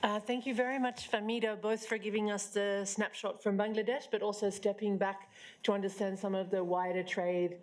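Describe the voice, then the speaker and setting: A woman's voice: medium pitch, fairly even intonation, moderate pace, a little mellow. A woman moderating a conference panel, introducing speakers in a friendly, self-deprecating way.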